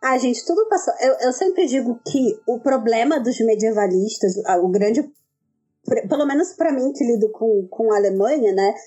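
A woman talking in a casual academic discussion, lively and animated. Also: a little high, moderate pace, slightly rough, faint music behind. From southern Brazil. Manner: lively, animated storytelling with acted quotes. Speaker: medievalist scholar.

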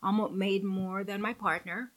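A woman's voice: medium pitch, steady tone, normal speed. A woman in her forties from Guyana with a Guyanese accent, a guest talking candidly and earnestly in an interview.

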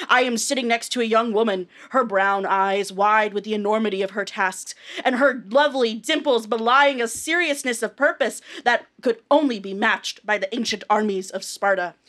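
A woman's voice: a little high, fairly bright tone, close to an everyday voice. A woman playing an earnest, florid war correspondent reporting dramatically in a radio play. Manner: grandiose, dramatic.

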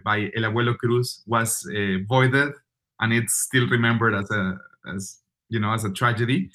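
A man with a Mexican accent, speaking as a guest calling in from far away. He tells football stories in an animated way and laughs easily. His voice sits at an ordinary middle pitch for a man. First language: Spanish. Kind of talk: casual storytelling chat.

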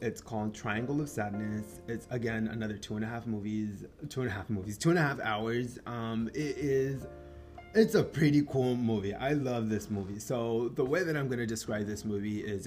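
Podcast host, male, Mexican-born, Spanish first language; mid-pitched, rambly film chat over faint music. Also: darkish tone. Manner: rambling, many fillers and restarts, swears casually. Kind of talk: casual film review chat.

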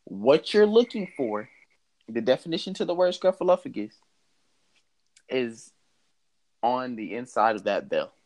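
A man's voice: medium pitch, sing-song, measured pace, long pauses, a little mellow, voice pushed up, poor audio. A man acts out a homeless stranger from a spoken tale, a knowing guide. He speaks cryptically and reassuringly, often saying things twice. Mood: instructive.

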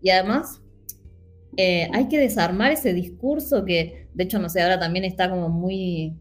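A woman's voice: lowish voice, normal speed, soft background music. An Argentine woman with a Rioplatense accent, speaking earnestly in a casual panel discussion with frequent fillers. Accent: Rioplatense Spanish. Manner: earnest, animated, many fillers.

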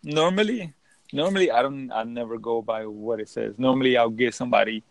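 A man's voice: medium pitch, brisk, vocal fry, no room sound, rough recording. A young man from the Dominican Republic who hosts a podcast, chatting casually and rambling with many restarts. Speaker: podcast host. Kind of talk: casual chat on a call.

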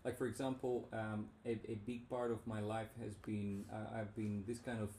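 A man's voice: lowish voice, level tone, moderate pace, dark tone. A man hosting a casual podcast chat, rambling and frank, often restarting his sentences. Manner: rambling, self-interrupting, frank. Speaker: podcast host. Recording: roomy with faint background noise.

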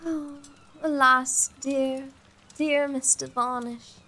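A woman's voice: high-pitched, fairly even intonation, slow pace, bright, forceful tone, heavily acted. A woman playing a fretful, theatrical young lady in a gothic comedy audio drama, with old-fashioned, sighing diction. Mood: dreamy. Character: restless, fretful young gothic-novel-loving lady companion.

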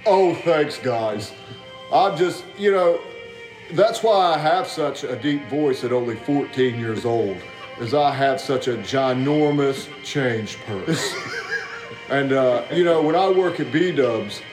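A man's voice: medium pitch, lively intonation, takes it slowly, roomy sound, rough recording. Man mocking crudely over music. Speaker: league member giving a roast. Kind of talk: crude comedic roast.

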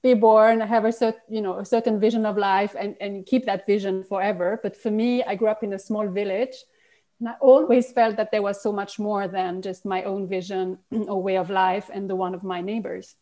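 A woman's voice: mid-range voice, steady tone, normal speed, dark tone. A woman, a guest in a conversational interview, talking reflectively with many restarts and fillers. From Switzerland. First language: French.

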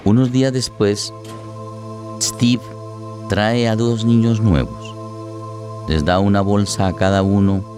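A man narrates a radio drama in a fairly low voice. His scripted, dramatic delivery sits over clear guitar music.

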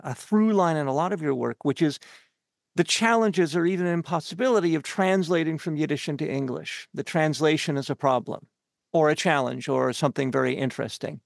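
A man from Canada hosting a podcast interview, asking long earnest questions with many halting fillers. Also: a little high, vocal fry, no room sound, studio quality.

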